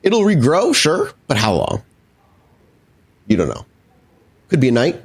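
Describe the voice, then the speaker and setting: A man's voice: fairly high pitch, huge pitch swings, a little slow, husky. A man working as storyteller of a tabletop role-playing game, improvising narration and explaining the rules descriptively.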